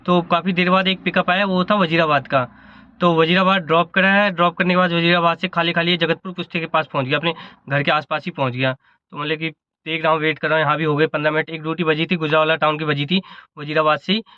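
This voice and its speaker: A man's voice: on the high side, flat delivery, fast talker, lo-fi sound. A man, a ride-hailing driver, vlogs casually from his car and a fuel station, rambling and correcting himself, with a slightly sore throat. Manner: chatty, rambling with self-corrections. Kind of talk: casual vlog narration.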